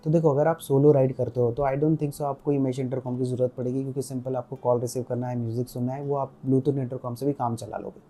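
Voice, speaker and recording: Indian guy doing a casual gear review, really mellow dark voice, mixes hindi n english, walks you thru it step by step. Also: mid-range voice, level tone, fast, hardly any pauses, faint music behind. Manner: explanatory, step by step, switching between Hindi and English. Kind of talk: casual product review to viewers.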